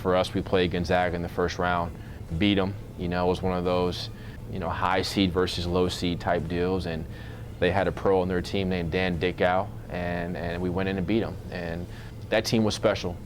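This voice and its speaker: A man in his thirties, a basketball coach, speaking in a measured, earnest way in an informal interview. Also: low-pitched, flat delivery, noisy background. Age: 30s.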